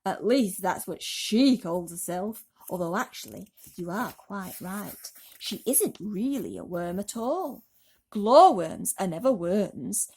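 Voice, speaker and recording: A loud, bossy centipede from a storybook, acted out by a woman. He is grumpy and impatient, barking out scolding orders at a forceful volume. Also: mid-range voice, animated, slow, slightly rough, full character voice.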